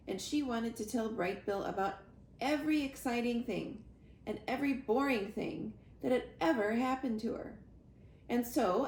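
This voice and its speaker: A woman narrates a children's story expressively. Her voice is fairly high with frequent creak, recorded in a roomy space with audible noise. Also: a little mellow. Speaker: read-aloud storyteller. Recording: roomy echo, clear background noise.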